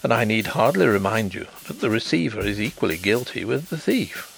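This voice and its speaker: Man voicing a suave, genial blind gentleman, lively pitch. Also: fairly quick, creaky, soft background music, rough recording. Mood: frank, genial. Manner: suave, quiet, thoughtful.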